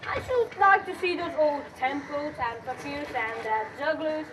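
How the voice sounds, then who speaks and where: A grumbling but hospitable family father played by a young woman in an amateur stage play. The voice is high, and it is picked up from a distance over clear background noise. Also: measured pace, crowd noise. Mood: hearty, curious. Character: grumbling, hospitable middle-aged father and husband. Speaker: student actor in a school play. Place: school stage, recorded from a distance. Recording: distant noisy school stage recording.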